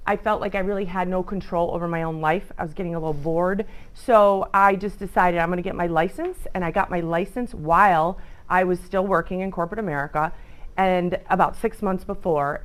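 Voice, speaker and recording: Chatty middle-aged realtor woman from Illinois. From northern Illinois. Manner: chatty, lively pitch swings, self-interrupting asides. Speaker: guest speaker, realtor. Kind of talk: informal talk to students. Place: school club meeting room.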